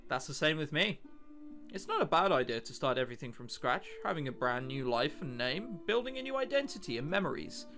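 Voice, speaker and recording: Man reading a mysterious, wistful girl, lightly pitched. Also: very animated, fairly quick, vocal fry, fairly bright tone, faint music behind, exaggerated voice. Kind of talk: visual novel dialogue read aloud.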